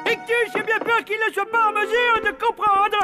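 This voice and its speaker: Very high-pitched bossy character. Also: male voice, flat delivery, moderate pace. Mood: exasperated. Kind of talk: French cartoon voice acting. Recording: background music.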